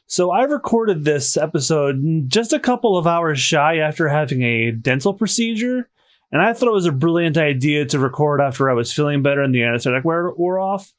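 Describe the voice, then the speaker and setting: A man hosting a casual podcast, chatty and slightly hoarse, often restarting his sentences. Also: a little high, expressive, fairly quick. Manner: chatty, self-correcting restarts. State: slightly hoarse after dental work. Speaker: podcast host.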